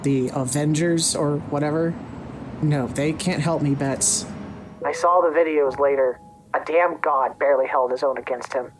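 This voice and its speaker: A weary fugitive, played by a man in an audio drama, guarded and casual. His voice is slightly high for a man. Noisy street ambience with vehicles sits behind him. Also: steady tone, moderate pace, close to an everyday voice.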